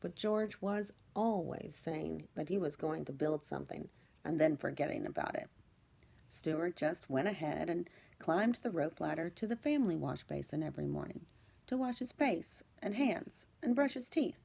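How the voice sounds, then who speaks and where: Low-voiced woman reading a children's storybook. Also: expressive, normal speed, gravelly, warm tone, slightly rough. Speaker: second-grade teacher reading aloud.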